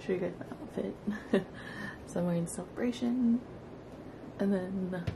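A woman's voice: medium pitch, creaky, noisy background. A young woman in her twenties, chatting upbeat to a handheld camera as a vlogger, with lots of likes and ums. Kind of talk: casual vlog chat to camera. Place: hotel room, cafe and thrift store. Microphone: handheld camera mic.

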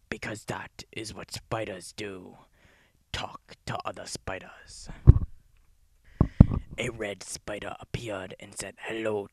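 Deadpan whispering storyteller, male, slow, husky. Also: lowish voice, no echo. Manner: soft breathy whisper, deadpan. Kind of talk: whispered ASMR-style storytelling.

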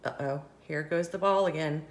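A woman reading a picture book aloud for children, expressive, with questioning asides to young listeners. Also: deep voice, normal speed. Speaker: children's story reader and host.

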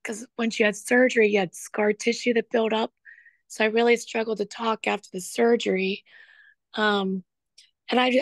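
Caller, woman, raspy, candid and chatty, dry close audio. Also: on the high side, no room sound. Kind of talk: conversation with a show host.

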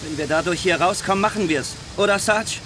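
A man's voice: sits high, a little fast, background noise, lightly acted. An actor playing a nervy, chatty young male soldier, cautious and fretful, in a video game. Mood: hopeful.

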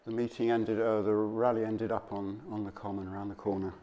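Man giving a halting, informal slide talk. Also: on the low side, completely flat delivery, dull audio, noisy background. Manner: halting, conversational, off-the-cuff. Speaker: local history speaker.

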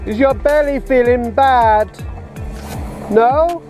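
A woman talks in a calm, gently coaxing way while crewing a sailboat out on open water. Her voice is a little higher than most, and a loud music bed plays under it. An action camera on deck picks her up. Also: slow. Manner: calm, gently coaxing, gives sailing calls. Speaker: vlogger sailing with family. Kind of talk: casual family chat on a sailboat. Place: outdoors on a sailing yacht at sea. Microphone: action camera on the boat.

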